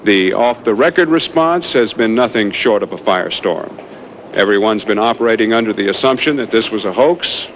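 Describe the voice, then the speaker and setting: A man's voice: lowish voice, normal speed, a bit bright, close to an everyday voice. A man playing a wry, earnest television field correspondent, reporting in articulate, dramatic phrases. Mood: earnest, pointed. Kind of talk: live news report acting. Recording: dull location sound, faint noise.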